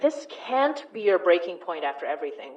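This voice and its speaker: A dry, sardonic investigator in an audio drama, her voice a little low and her lines flat and matter-of-fact. She sounds set back from the mic, and the sound is somewhat dull. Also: a little fast, distant mic.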